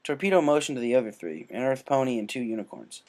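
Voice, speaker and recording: A man's voice: medium pitch, animated, fast, a little mellow. A man reading a scripted story aloud as its narrator. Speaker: story reader. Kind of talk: scripted fan fiction narration.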